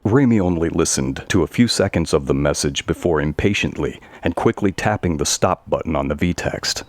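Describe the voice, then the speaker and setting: A man's voice: rumbling low, lively intonation, normal speed, no room sound. A man narrating a scripted audio story.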